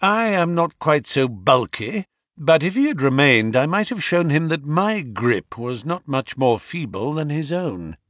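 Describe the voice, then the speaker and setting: Gentleman sleuth played by a man: bland politeness, dry amusement, expressive range, close dry sound. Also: on the high side, unhurried, no room sound. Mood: amused, keen. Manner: imperturbable, polite, wry. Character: cool, bland, amused male gentleman detective.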